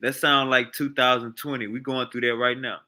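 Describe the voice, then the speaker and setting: A man's voice: medium pitch, level tone, normal speed, sharp tone. A man in casual debate about scripture, pressing his guest with challenging rhetorical questions. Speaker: host.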